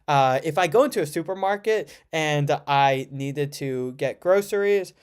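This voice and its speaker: A man's voice: a little high, a little slow, clean tone. A man of about twenty with an American accent, chatting informally on a livestream and often correcting himself as he rambles. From United States. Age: around 20. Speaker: livestream host, student. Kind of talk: casual livestream chat answering viewer questions.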